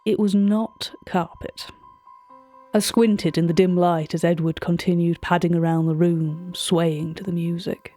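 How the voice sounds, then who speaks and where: A woman performing a polite, curious auction curator. Her voice sits low and soft-edged, with music underneath. She narrates eerie audio fiction deliberately, in measured literary phrases. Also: fairly even intonation, lightly acted. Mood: hushed wonder. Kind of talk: scripted first-person narration.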